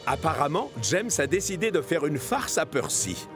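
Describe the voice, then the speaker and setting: Male narrator, children's storytelling, expressive, music bed underneath. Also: a little high, normal speed. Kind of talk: scripted children's cartoon narration.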